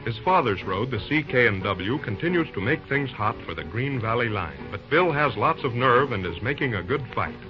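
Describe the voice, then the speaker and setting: A man's voice: mid-range voice, lively intonation, moderate pace, few pauses. A man announcing and narrating an old-time radio serial in a scripted style. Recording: dull old radio recording. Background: music.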